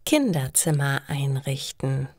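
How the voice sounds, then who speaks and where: A woman reading scripted stories for language learners in a careful, simple, deliberate way. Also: extremely low pitch, lively intonation, a little mellow, dry recording, studio quality.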